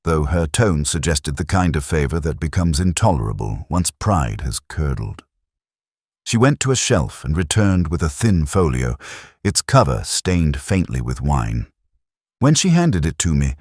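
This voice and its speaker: Male narrator, very deep pitch, lively intonation, measured literary detective storytelling, dry studio-like sound. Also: husky, dry recording. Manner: measured, deliberate literary reading. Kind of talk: scripted first-person literary narration.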